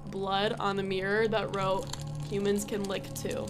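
A woman's voice: medium pitch, little pitch movement, measured pace. A young adult woman co-hosting a podcast, retelling scary stories in a casual, chatty way. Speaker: podcast co-host. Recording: music under the voice. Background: background music.

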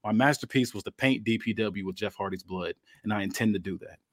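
A man's voice: fairly low pitch, fast talker, fairly bright tone, no room sound. A cocky, sadistic wrestling villain, acted out by a man. He delivers his promo theatrically, with a taunting, domineering manner. The sound is close and dry. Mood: scornful gloating.

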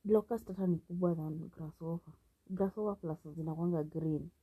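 A woman's voice: low-pitched, steady tone, normal speed, husky, narrow, tinny audio. A Kenyan woman co-hosting a podcast in casual, bantering chat. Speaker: podcast co-host. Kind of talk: casual bantering chat, mixing Swahili and English.

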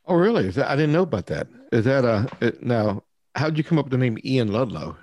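A man hosting a podcast, asking questions in a friendly, halting way with many fillers. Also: mid-range voice, very animated, darkish tone. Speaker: podcast host. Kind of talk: interview questions.

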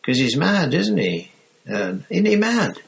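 A man puts on a jumpy, gossipy young bus conductor with a raised, lively voice. He chatters in loose slang and swoops up high when alarmed. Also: a little slow, gravelly, heavily acted.

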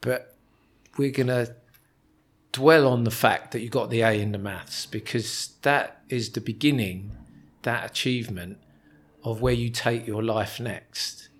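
A male podcast host with a mid-range voice. He speaks in a measured, encouraging way. He often pauses to sum up what his guest has said. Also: mid-range voice, husky. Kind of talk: interview conversation.

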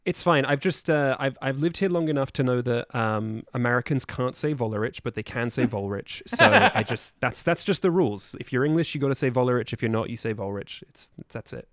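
A man's voice: medium pitch, fairly quick, hardly any pauses, fairly bright tone, no room sound, clean studio sound. A man chatting casually as a podcast guest, wordy and drily playful in how he talks.

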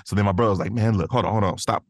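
A man with a low voice talks casually as a podcast guest. He rambles with enthusiasm and drops in plenty of conversational tags, in a dry, close recording. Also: racing, dry recording. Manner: rambling, enthusiastic, peppers talk with "man" and "you know".

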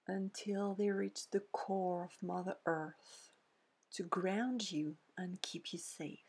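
A woman leading a guided meditation in a soft, breathy, near-whispering voice, gently soothing, with pauses between short phrases. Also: medium pitch. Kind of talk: guided meditation, scripted narration.

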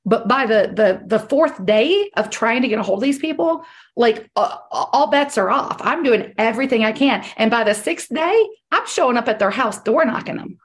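Woman sales coach, slightly high voice, strained and hoarse, firm and repetitive. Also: lively intonation, normal speed. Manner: direct, emphatic, repeats key points. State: losing her voice. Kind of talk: coaching on a group call.

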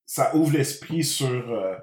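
Man, Quebec French accent, medium pitch, casual chat, franglais mix, roomy echo. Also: expressive, slow, darkish tone. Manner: mixes English words into speech. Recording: roomy, echoey room.

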